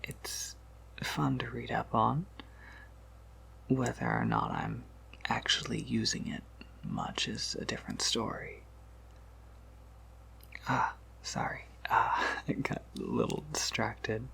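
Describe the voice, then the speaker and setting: Man playing a whispering, creaky, gentle vampire shopkeeper. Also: huge pitch swings, slow pace, pauses often, exaggerated voice. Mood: flustered, searching. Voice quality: breathy whisper breaking into creaky low murmur. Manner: gentle, considerate, hesitant with small asides. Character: soft-spoken, polite, slightly scatterbrained male vampire apothecary shopkeeper. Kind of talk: whispered ASMR roleplay addressed to the listener.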